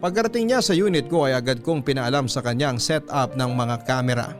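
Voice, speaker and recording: An actor playing a young male vlogger, narrating his story in a measured Filipino radio drama style. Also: medium pitch, music in the background, close to an everyday voice. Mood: confessional.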